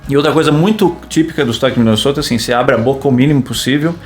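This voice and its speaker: A man's voice: mid-range voice, racing. A man co-hosting a casual film talk, explaining things in a playful way and quizzing his co-host. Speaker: podcast co-host. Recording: faint guitar music behind.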